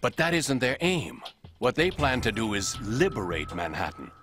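An actor playing a middle-aged intelligence colonel in a video game cutscene, briefing in a clipped, urgent manner. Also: male voice, mid-range voice, very animated, moderate pace, fairly bright tone, slight background noise.

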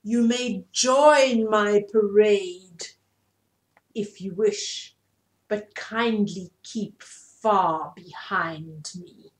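A woman voicing a haughty male peacock from a storybook, speaking in a self-important, emphatic, drawn-out way. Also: medium pitch, echoey room, poor audio. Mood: haughty, proud.

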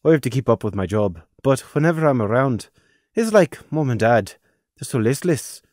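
A man's voice: mid-range voice, sing-song, normal speed, darkish tone, dry recording, studio quality, close to an everyday voice. A man doing a nervous, pleading pony brother. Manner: hesitant, stammering and pleading. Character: young stallion, anxious and apologetic older brother.

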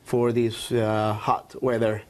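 A man's voice: fairly low pitch, monotone, slow. A man from Peru, a guest chef on TV, explaining a dish in an agreeable, slightly hesitant way. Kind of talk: explaining a recipe in a TV interview. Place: TV studio cooking segment.

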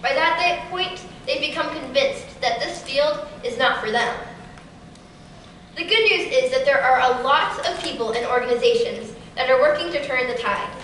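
A teenage girl giving a prepared keynote talk in a large auditorium, with a steady, rehearsed delivery. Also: sits high, moderate pace, slight background noise. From Kansas City area. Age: teens. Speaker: keynote speaker. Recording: echoey hall from far off.

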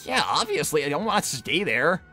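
A man voicing a brash young cartoon hedgehog hero, flustered and defensive, talking casually and haltingly in character. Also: fairly high pitch, sing-song, music in the background. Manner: halting, casual teenage slacker phrasing. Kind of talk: improvised in-character livestream Q&A.

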